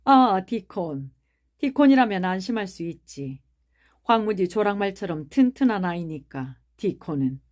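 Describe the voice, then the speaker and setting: A woman's voice: medium pitch, animated, measured pace, darkish tone, close to an everyday voice. A woman voicing a timid, hesitant middle-aged male doctor in an audiobook. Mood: cautious. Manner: hesitant, mild. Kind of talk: audiobook character reading.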